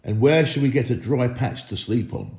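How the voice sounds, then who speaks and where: A man's voice: medium pitch, lively intonation, moderate pace, creaky, darkish tone, echoey room. A man voicing wary, grumbling dwarves from a storybook in a cautionary, complaining way. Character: wary, grumbling male dwarves of a travelling band.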